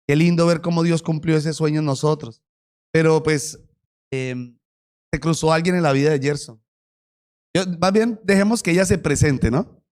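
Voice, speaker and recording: A pastor leads a testimony interview from the church stage. His man's voice sits a little high, and he talks in a playful, joking way with the congregation. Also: normal speed, pauses often, darkish tone.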